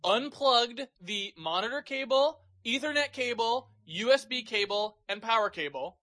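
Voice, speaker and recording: Bright, high-voiced man ranting casually. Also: measured pace. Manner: profane, animated ranting. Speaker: podcast co-host. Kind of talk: casual chat. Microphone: podcast microphone.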